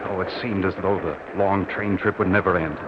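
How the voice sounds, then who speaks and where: Man acting a low-voiced young soldier, old crackly radio. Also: fairly even intonation, highs cut off, lightly acted. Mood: excited anticipation. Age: young adult. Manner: theatrical, drawn-out, dramatic delivery. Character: lovestruck young soldier returning home, earnest and eager. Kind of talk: old-time radio drama acting, narrated first person. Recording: noisy, band-limited old radio recording.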